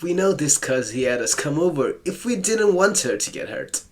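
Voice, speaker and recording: A man's voice: fairly high pitch, sing-song, moderate pace. A man casually commenting while playing a visual novel, playful and sarcastic, joking back at the story text.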